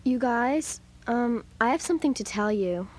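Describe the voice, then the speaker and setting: A woman's voice: on the high side, animated, moderate pace, a little mellow. An actress playing an earnest, self-conscious young teenage girl in a children's audio drama. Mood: confiding.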